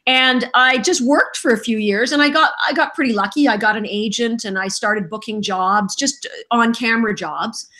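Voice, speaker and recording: A middle-aged woman, a voice actress, chatting as a podcast guest about her start, telling stories in a lively, self-mocking way, just over a cold. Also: fairly high pitch, moderate pace, hardly any pauses. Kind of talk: casual interview chat.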